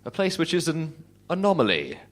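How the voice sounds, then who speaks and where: A man's voice: huge pitch swings, moderate pace. A man playing an enthusiastic, foolishly confident schemer in an improvised comic radio play, earnestly repeating his words. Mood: scheming, confident. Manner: earnest, repeats words while pitching ideas. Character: enthusiastic, foolishly confident male dreamer Tyler, full of schemes.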